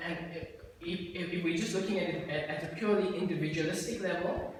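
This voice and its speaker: A young man presenting in a dim lecture room, explaining a diagram and pointing at the slides. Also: a little high. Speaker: student presenter. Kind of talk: class presentation. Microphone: far room mic. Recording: echoey room from far off.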